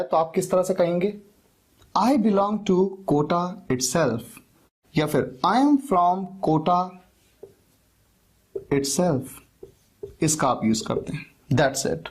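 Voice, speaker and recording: A slow, deliberate male teacher with a husky voice. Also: sits high, darkish tone. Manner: slow, deliberate dictation of example phrases; asks questions and answers them himself. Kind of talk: spoken English lesson for interviews.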